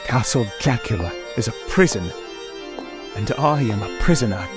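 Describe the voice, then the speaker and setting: An actor playing an anxious, imprisoned gentleman recounting horrors in a dramatic vintage radio style. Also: mid-range voice, animated, moderate pace, close to an everyday voice. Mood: trapped, desperate. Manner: dramatic, haunted, emphatic. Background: dramatic music.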